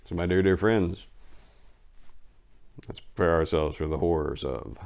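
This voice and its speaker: A man's voice: sits low, measured pace, creaky, a little mellow. A man speaking as a host, giving an introduction to a dramatic reading of a short story.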